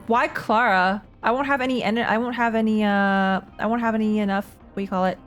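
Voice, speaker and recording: A woman's voice: medium pitch, steady tone, a little fast, faint music behind. A woman live-streaming a game, chatting casually and thinking aloud in a rambling, slangy way. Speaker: streamer playing and reacting to a game.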